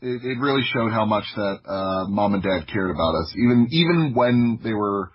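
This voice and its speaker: A man from the United States telling personal stories casually as a podcast guest, in an informal, humorous way with a husky voice. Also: lowish voice, expressive, moderate pace, dull audio. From United States (Florida). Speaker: podcast guest, audio drama creator.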